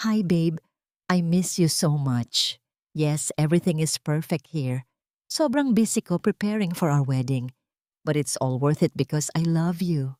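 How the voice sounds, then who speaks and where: A woman's voice: low voice, expressive, unhurried, a little mellow, no echo, studio quality. A woman voicing a haughty, bossy, rich young bride-to-be in an audio story. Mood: sugary sweet. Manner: scornful, commanding.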